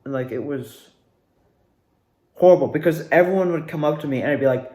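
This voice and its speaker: A young man telling a casual personal story in a rambling, animated way, repeating words for emphasis. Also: fairly high pitch, fairly quick. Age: young adult. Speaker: student actor recounting past performances. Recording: echoey, reverberant room.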